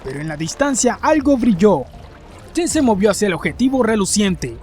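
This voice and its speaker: A man's voice: high-pitched, very animated, normal speed, a little mellow, soft background music. A man reading scripted narration in the manner of a formal report for a horror fiction channel.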